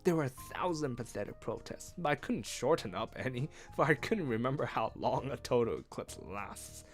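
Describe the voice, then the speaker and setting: A man reading a story aloud as host of a read-along, with expressive narration and casual asides. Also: medium pitch, normal speed. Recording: music behind.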